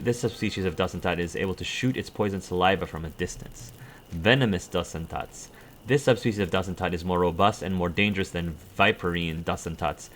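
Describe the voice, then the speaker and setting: A man's voice: deep voice, a bit bright, slight background noise. A man hosting a game playthrough, reading in-game codex entries aloud with stumbles and self-corrections and dropping brief dry asides. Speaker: let's play host.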